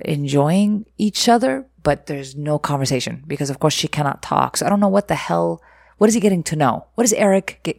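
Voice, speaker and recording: Low gravelly-voiced woman, dry close sound. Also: darkish tone, no room sound. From Egypt. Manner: candid and opinionated, with frequent laughing asides; rambling with many restarts and rhetorical questions. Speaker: vlogger. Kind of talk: casual rambling commentary to viewers.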